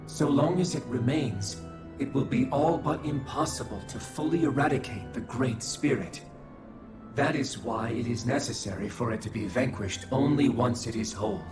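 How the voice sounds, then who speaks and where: A man's voice: medium pitch, moderate pace, lightly acted. An actor playing a cold, calculating male observer figure in a video game cutscene, speaking formally and without feeling. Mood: detached. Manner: formal, detached, clinical. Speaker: game character. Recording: echoey, with game music behind.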